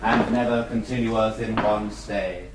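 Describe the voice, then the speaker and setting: An actor playing a clergyman in a radio drama, reciting a burial service in a measured, solemn, declamatory way. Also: on the low side, steady tone, slight background noise, lightly acted. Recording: echoing church-like acoustic.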